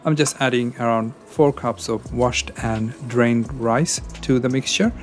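A man's voice: mid-range voice, steady tone, a little slow. Hesitant man narrating a cooking video. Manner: hesitant, many fillers. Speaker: cooking channel host. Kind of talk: casual cooking voice-over.

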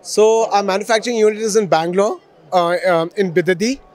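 High-voiced Indian man, business pitch. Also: fairly quick, a little mellow, some chatter behind. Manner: fluent, business jargon, explanatory. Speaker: company marketing manager interviewed. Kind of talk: promotional interview answers. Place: exhibition stall indoors. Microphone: handheld reporter microphone held at chest.

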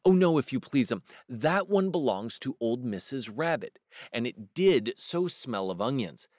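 A man's voice: mid-range voice, very animated, a little slow, a little mellow, dry recording, studio quality. A man reading a storybook aloud and voicing a humble little hedgehog washerwoman who keeps bobbing and repeating polite phrases. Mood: eager, polite.

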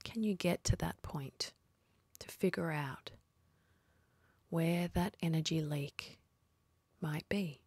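A woman leading a guided meditation in a soft, husky, breathy voice, speaking slowly and soothingly with long pauses. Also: low voice, no room sound.

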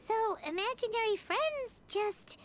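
Squeaky, very high little fairy girl. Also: animated, a little slow. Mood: curious. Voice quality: squeaky, childlike. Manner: exclaims, refers to self in third person. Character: tiny floating fairy girl companion, childlike, bossy, excitable. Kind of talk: English anime game voice acting.

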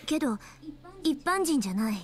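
A woman's voice: high-pitched, sing-song, quick pace. A woman voicing a serious young female soldier who speaks in clipped, matter-of-fact sentences in a game. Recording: game music behind.

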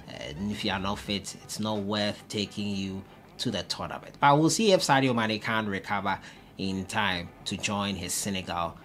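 Young man reading football news. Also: lowish voice. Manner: steady reporting with frequent "uh" fillers. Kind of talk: solo news vlog to camera. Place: indoor room before a stone-pattern wall.

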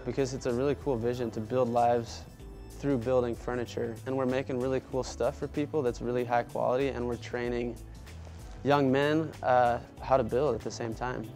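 An earnest man in his thirties talks in an upbeat, easy way about training people, his voice at a middle pitch. He is recorded in a workshop, with faint music underneath. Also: fairly even intonation, normal speed, darkish tone. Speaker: small business owner. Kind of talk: casual interview. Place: furniture workshop.

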